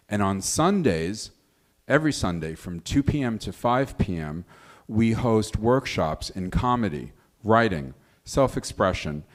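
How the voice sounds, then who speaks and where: A man speaking as a show producer on a live stage, giving orderly, deliberate promotional announcements. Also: deep voice. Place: live stage in small venue.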